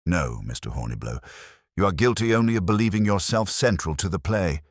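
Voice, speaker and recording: A man as kindly, shrewd male inspector for mystery audiobook: very deep, deliberate, reassuring, lively cadence. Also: no echo, studio quality. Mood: gentle reassurance. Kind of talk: audiobook character dialogue.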